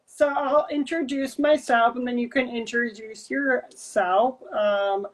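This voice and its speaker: A woman in her twenties hosting a casual interview, speaking haltingly in short phrases with pauses. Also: fairly high pitch, fairly even intonation. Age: 20s. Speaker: podcast host.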